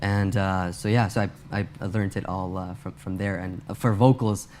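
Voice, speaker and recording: A man's voice: sits low, steady tone, normal speed, a little mellow, a little hiss or hum. A young man born in Pakistan, an a cappella singer and producer, answering interview questions in a hesitant way with many fillers and restarts.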